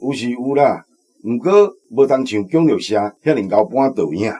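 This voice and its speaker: A village temple keeper with a Taiwanese accent in a radio play. His voice is dark and husky, matter-of-fact but moving freely in pitch. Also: expressive, moderate pace. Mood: resigned. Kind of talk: radio drama acting.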